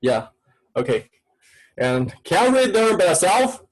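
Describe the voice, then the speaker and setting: Chinese-accented guy teaching a call class, kinda high voice, keeps nudging students. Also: sing-song, measured pace, low-quality recording. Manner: repetitive, coaxing prompts in broken English. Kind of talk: online language class.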